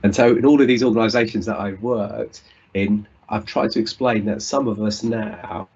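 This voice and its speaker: A man's voice: fairly low pitch, fairly even intonation, moderate pace, gravelly, darkish tone, lo-fi sound, slight background noise. A British man giving an earnest, reflective conference talk over a video call, often restarting his sentences. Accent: British English. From United Kingdom. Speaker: conference speaker.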